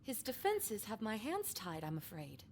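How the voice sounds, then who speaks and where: An actress playing a vain, evasive high school principal in a visual novel game, breezy and deadpan. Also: female voice, normal speed, a little hiss or hum. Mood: weary.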